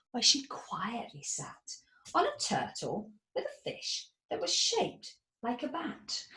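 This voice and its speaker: A woman reading a rhyming picture book aloud in an animated, theatrical way. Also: fairly low pitch. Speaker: narrator.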